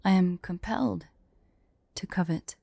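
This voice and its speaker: A woman plays a proud, wistful she-dragon guarding her hoard in an ASMR roleplay. Her voice is mellow and slightly low, and she speaks very slowly in formal, measured phrases that sink into breathy hush. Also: no room sound. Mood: intense, brooding. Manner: formal, measured storytelling with breathy hushed drops. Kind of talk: ASMR roleplay with slow myth storytelling.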